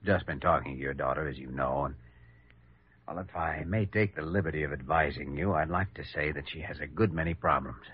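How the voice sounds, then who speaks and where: Patient, firm young American doctor, husky low voice, vintage radio drama on a dull recording. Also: male voice. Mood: earnest, tactful. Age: young adult. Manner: measured, hesitant, reasoning. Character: earnest, patient young male hospital doctor, quietly firm. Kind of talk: classic American radio acting. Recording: muffled old radio recording.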